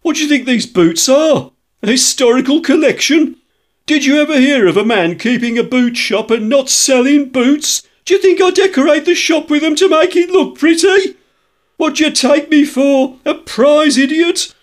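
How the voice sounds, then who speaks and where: A man voicing a surly, gruff shopkeeper with a thick, husky voice, firing off indignant questions in a story reading. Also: mid-range voice, pitched above the performer's own voice, lightly acted. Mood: furious ranting. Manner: starts slow, grows into indignant ranting questions.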